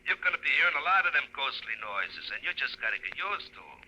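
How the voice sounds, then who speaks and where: An actor playing a chummy, mischievous hobo ghost in a 1950s radio horror-comedy, with an American accent and slangy, ungrammatical buddy talk. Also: male voice, medium pitch, big pitch swings, fast talker, pushed and bright, heavily acted. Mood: gleeful, chummy. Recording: muffled old radio recording.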